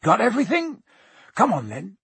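A big, friendly giant of a gamekeeper, acted out by a male storybook reader, speaks with a very husky, gruff edge. His talk is folksy and colloquial, hearty and proud, and it is recorded close and dry. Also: high-pitched, wildly swooping pitch, normal speed, fairly bright tone, dry recording, pitched above the performer's own voice, heavily acted. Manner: folksy, colloquial, dropped words.

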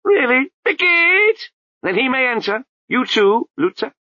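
A squeaky, shrill little abbot who babbles nonsense between grand pronouncements. The voice leaps wildly high and low, with a dull, dry storybook sound. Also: male voice, big pitch swings, unhurried, bright, forceful tone, dry recording, heavily acted. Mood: gleeful. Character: ancient male abbot reborn as a babbling toddler.

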